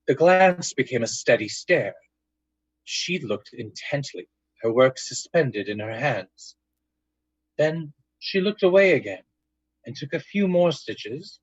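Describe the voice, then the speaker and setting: Husky male narrator of a radio drama. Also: medium pitch, dry recording, highs cut off. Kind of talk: dramatic scripted narration in old-time radio style.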